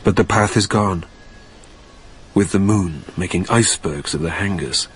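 A man's voice: deep voice, normal speed, a bit bright, a little hiss or hum. An actor playing a lonely, troubled dead man who narrates in a brooding, literary manner in a radio drama.

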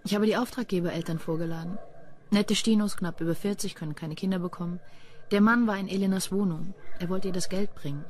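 A woman playing a cool, deadpan police detective in a German crime radio play. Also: medium pitch, fast, natural voice. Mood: dry, businesslike. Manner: dry, deadpan.

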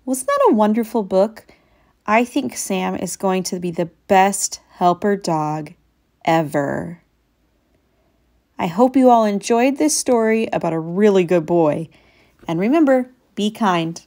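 Slow-paced woman reading for children. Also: mid-range voice. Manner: expressive, addressing young listeners. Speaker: read-aloud host. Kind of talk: children's picture book read-aloud.

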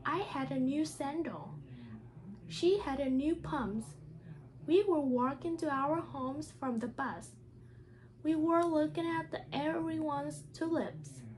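A woman speaks in careful, halting non-native English, in the style of a teacher reading a children's storybook aloud. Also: sits high, expressive, a little hiss or hum, poor audio.

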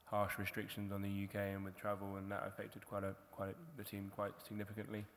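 Flat-voiced man in an echoing hall. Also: on the low side, quick pace. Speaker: team manager. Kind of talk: panel guest talk. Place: large hall on stage before an audience. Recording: long hall reverb, faint crowd hum.

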